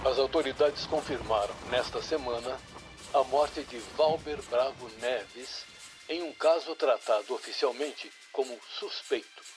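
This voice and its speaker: A man's voice: mid-range voice, over music, natural voice. A man playing a formal, polished nineties radio news announcer with a Brazilian accent, reading a scripted bulletin in an audio drama. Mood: serious. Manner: formal, deliberate. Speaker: radio newsreader.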